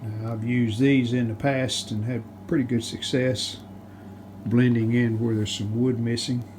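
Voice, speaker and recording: A man casually narrating a hands-on how-to as he works, plain-spoken, unhurried and modest. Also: medium pitch. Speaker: restoration hobbyist and video host. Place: home basement workshop. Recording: steady background noise, handling rustle.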